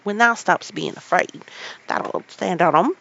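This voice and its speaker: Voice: mid-range voice, expressive, brisk, creaky, lo-fi sound, a little hiss or hum. A reader doing a hearty Yorkshire country boy. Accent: Yorkshire dialect put on. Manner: loud, hearty. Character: cheerful Yorkshire country boy, steady and kind.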